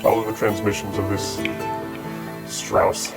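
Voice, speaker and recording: Slow, weary trapped man. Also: on the low side, flat delivery. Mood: cold, desperate. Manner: slow, halting. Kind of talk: audio drama acting. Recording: loud music over the voice.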